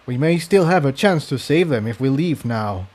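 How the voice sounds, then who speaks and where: A man's voice: mid-range voice, expressive, normal speed, a little mellow, close to an everyday voice. A man voicing a brave, determined male pony who talks urgently and with authority to protect his friends. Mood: firm consoling.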